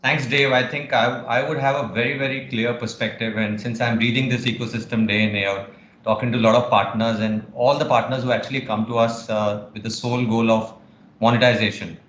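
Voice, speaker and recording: A man, speaking in steady, assured business terms on a panel. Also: mid-range voice, a little fast, roomy sound. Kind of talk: online panel discussion.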